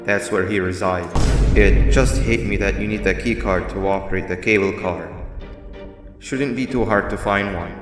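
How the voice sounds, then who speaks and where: An actor voicing a calm, grave monster mascot in a video game, giving measured, formal instructions like a schemer. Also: male voice, on the low side, close to an everyday voice. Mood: calm, instructive. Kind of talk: scripted video game dialogue. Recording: roomy reverb with music underneath.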